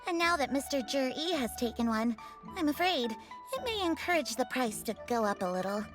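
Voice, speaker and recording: A woman's voice: sits high, sing-song, brisk, over music. An actress voicing a polite, shrewd female shopkeeper who pushes a sale. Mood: salesy. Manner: courteous salesy patter. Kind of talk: game voice acting.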